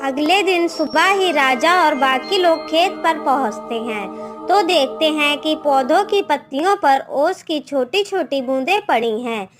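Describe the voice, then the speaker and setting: A woman storyteller reading a scripted Hindi moral story as narration. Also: high-pitched. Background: background music.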